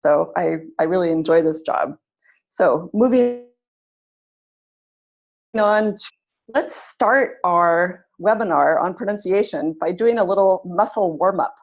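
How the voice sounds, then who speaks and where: A woman's voice: lowish voice, a little slow, pauses often. A woman, presenting an online webinar for teachers in a friendly, instructive way. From California, USA. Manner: friendly, instructive, leads warm-up exercises. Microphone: computer mic on video call. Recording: dull lo-fi webinar audio.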